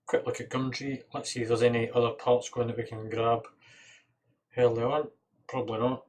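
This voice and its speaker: A man's voice: medium pitch, little pitch movement, moderate pace, darkish tone. A Scottish man hosting a casual livestream, chatting informally and thinking aloud as he works. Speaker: livestream host. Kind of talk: casual livestream chat while working on PC parts.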